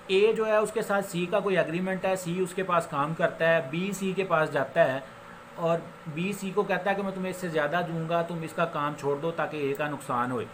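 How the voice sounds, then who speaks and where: A man with a Pakistani accent giving an informal law lecture, explaining with examples and switching into English legal phrases, which he reads slowly and haltingly. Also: fairly high pitch, fairly bright tone, rough recording. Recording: noticeable background noise.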